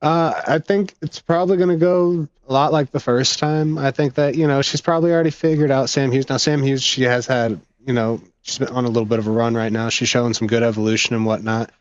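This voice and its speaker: A man's voice: medium pitch, fairly even intonation, brisk, hardly any pauses, no echo. A man co-hosting a podcast, talking casually through fight analysis and interview questions, on a lo-fi microphone. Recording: dull, lo-fi microphone audio.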